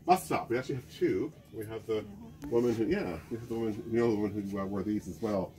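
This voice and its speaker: Low-voiced man curator, chatty. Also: fast talker, mellow, poor audio. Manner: chatty, trails off searching for names. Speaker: museum curator. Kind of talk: casual show-and-tell chat. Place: museum basement storage room among clothing racks.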